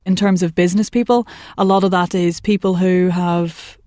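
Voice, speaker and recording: A woman working as a voice and accent coach, talking in a chatty, animated way in a podcast interview. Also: lowish voice, moderate pace, dry recording, clean studio sound. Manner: chatty and animated, laughs often, puts on voices for anecdotes. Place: work commute between Manchester and Liverpool.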